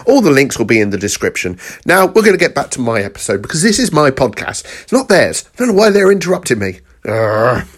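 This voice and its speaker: A man's voice: medium pitch, sing-song, quick pace, hardly any pauses. A man hosting a podcast, talking casually to listeners in an exasperated, grumbling manner. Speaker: podcast host.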